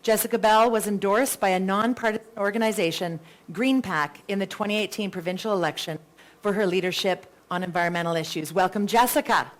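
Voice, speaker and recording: A woman's voice: mid-range voice, fairly quick, rough recording. A Canadian woman moderating a live candidates' debate, chatty, with playful self-deprecating asides and a big voice. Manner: says she has a really big voice.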